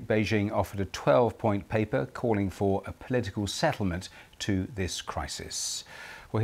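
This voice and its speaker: A man's voice: lowish voice, darkish tone. A man presenting live broadcast news as the anchor.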